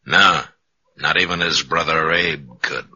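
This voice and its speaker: Casting: weary frontier lawman, low gravelly register, hard-edged and terse, 1950s radio western, muffled recording. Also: fairly even intonation, bright, forceful tone, no room sound, full character voice. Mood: cold, resolute. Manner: terse, dry, deliberate. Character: stern, weary Old West lawman. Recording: dull old radio broadcast recording.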